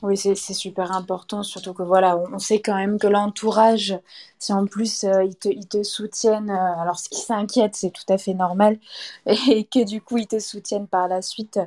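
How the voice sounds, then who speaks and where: Female host, mellow mid-range voice, supportive and a bit hesitant. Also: medium pitch, fairly even intonation, normal speed. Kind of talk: interview talk.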